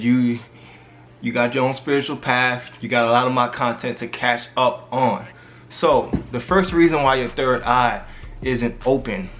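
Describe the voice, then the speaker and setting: A man's voice: medium pitch, fairly bright tone. A man hosting his own spiritual vlog in casual slang, hyping up and directly addressing his viewers, with faint music under his voice. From Haitian background. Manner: street slang, hypes the viewers. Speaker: spiritual vlogger.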